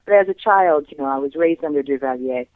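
A Haitian-born woman, a guest on a radio interview, speaking earnestly and reflectively and pausing to find her words over the phone. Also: low-pitched, dry recording. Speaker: guest, playwright and human rights attorney. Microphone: phone line. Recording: tinny dry phone line.

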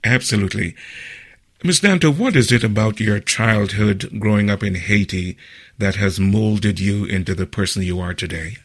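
A man with a fairly low voice hosts a radio talk show. He introduces his guest and asks questions at an unhurried, measured pace, filling his pauses with "uh" along the way. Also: fairly bright tone, husky. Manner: measured, with frequent "uh" fillers. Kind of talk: radio interview.

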